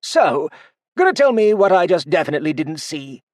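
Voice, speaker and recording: A man's voice: a little high, big pitch swings, moderate pace, dry recording, studio quality, voice pushed up. Man doing a sly teasing old man. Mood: wry. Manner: teasing, feigned innocence. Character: sly, playful old male cultivator.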